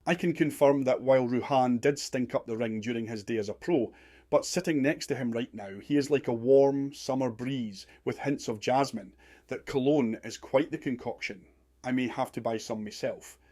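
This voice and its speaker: Man as a mellow, florid wrestling commentator. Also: mid-range voice, normal speed, no echo, studio quality, lightly acted. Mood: earnest hype. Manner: florid, dramatic phrasing. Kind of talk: parody wrestling radio commentary.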